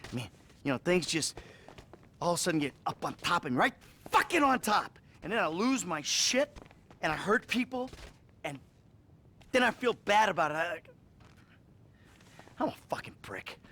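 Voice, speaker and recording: An actor playing a troubled, foul-mouthed middle-aged American criminal in a video game cutscene, halting and wry. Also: male voice, high voice, very animated, sharp tone, exaggerated voice. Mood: confessional, anguished.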